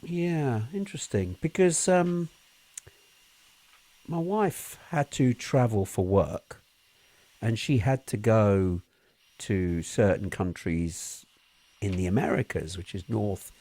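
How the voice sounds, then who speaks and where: A man's voice: on the low side, takes it slowly, pauses often, mellow. A man co-hosting a talk show who chats casually, often with short questions and interjections. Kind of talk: casual chat.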